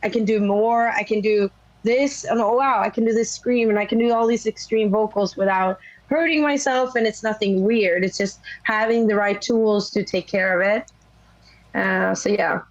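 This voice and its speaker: A young woman from Sweden with a naturally raspy voice, a rock singer chatting casually in an interview, rambling with many fillers. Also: mid-range voice, moderate pace, dry recording, muffled recording. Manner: rambling, lots of "like" and "you know". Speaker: rock vocalist guest.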